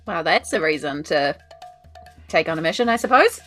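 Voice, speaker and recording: A woman's voice: deep voice, lively intonation, normal speed, a bit bright, faint music behind. A woman with a New Zealand accent playing a tabletop role-playing game, chatting casually partly in character.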